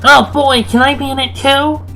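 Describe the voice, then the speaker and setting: A woman's voice: high-pitched, fairly even intonation, normal speed, fairly bright tone, soft background music, voice pushed up. A woman voicing a friendly, eager seven-year-old cartoon hedgehog boy in a fan fiction story.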